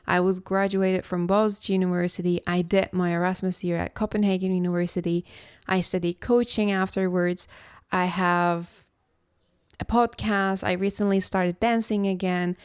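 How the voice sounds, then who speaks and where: A woman from Istanbul, hosting a reflective solo podcast as a philosophy coach, speaking in a slow, contemplative, measured way. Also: lowish voice, flat delivery, clean tone, no room sound, clean studio sound. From Istanbul, Turkey.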